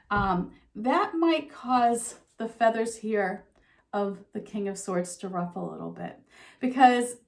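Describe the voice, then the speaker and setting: A middle-aged woman giving a relaxed, talking tarot reading to her viewers, her voice a little froggy from a slight sore throat, with frequent ums and you-knows. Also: a little high. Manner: soft conversational, with frequent um and you know. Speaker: tarot reader.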